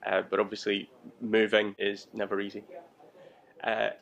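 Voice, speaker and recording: Creaky, low-voiced man explaining his work. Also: completely flat delivery, normal speed, a bit bright. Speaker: air traffic control watch manager.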